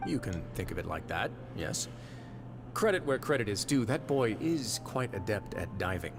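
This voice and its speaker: An actor playing a measured, wry and authoritative prison warden in a video game. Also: male voice, lowish voice, big pitch swings, over loud music. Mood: calm, assured. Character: composed, shrewd adult male prison fortress warden. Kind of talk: video game dialogue acting.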